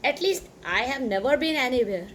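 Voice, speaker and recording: A woman's voice: high voice, expressive, a little fast, fairly bright tone, a little hiss or hum. A woman from Pakistan with a Sindhi-Pakistani English accent, a native Sindhi speaker, talking casually and rambling with lots of "you know" and emphatic asides. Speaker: English teacher. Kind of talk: casual talk to camera.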